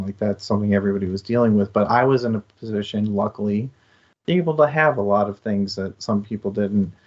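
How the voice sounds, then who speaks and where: A man with a lowish voice co-hosts a casual book talk. He phrases things earnestly and thoughtfully, in a reflective style. The sound is slightly dull and lo-fi. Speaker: co-host of a book discussion show.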